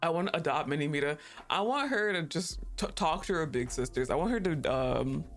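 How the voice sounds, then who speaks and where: A woman's voice: low voice, expressive, brisk, faint music behind. A young woman streaming, chatting casually and rambling, laughing often and swearing offhandedly.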